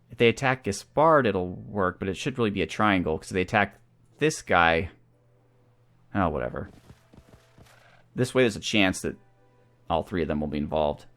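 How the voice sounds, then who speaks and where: A man's voice: on the low side, fairly bright tone. A man hosting a gaming channel, talking through a strategy game and thinking aloud as he goes. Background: video game music.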